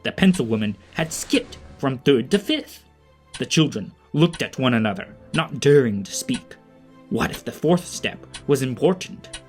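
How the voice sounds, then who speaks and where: A man's voice: mid-range voice, lively intonation, a little slow, soft background music. A man narrating an audio drama in a scripted storybook style.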